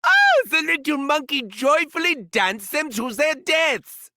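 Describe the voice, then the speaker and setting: An actor playing a gleeful, sadistic mad scientist villain in a video game, theatrical and taunting, with a German accent. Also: male voice, extremely high pitch, sing-song, measured pace, bright, forceful tone, dry recording, studio quality, exaggerated voice. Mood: manic glee. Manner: theatrical, swooping from gleeful shrieks to sinister murmurs. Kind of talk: video game character voice lines.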